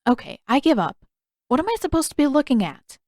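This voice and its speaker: A woman's voice: sits high, big pitch swings, a little fast, a little mellow, dry recording, studio quality, pitched above the performer's own voice. A woman voicing an eager, innocent young boy in an audiobook story, earnest and full of questions. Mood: hesitant.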